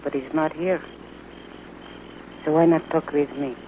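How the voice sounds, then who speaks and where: Coy, teasing western temptress, muffled. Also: female voice, deep voice, steady tone. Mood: flirtatious. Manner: coy, playful, deliberate. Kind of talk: old-time radio western acting. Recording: muffled old radio recording, faint noise.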